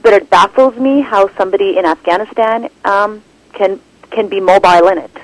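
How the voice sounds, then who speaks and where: Brief: female documentary director as guest, lively and rambling, close dry sound. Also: mid-range voice, brisk, no echo, poor audio. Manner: animated, rambling with restarts and "like". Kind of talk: conversational interview answers.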